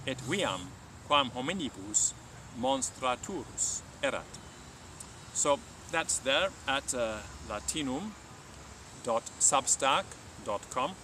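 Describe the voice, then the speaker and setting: A man's voice: medium pitch, recorded from a distance, noisy background. A man speaking as a teacher hosting a live stream, reading aloud and talking informally.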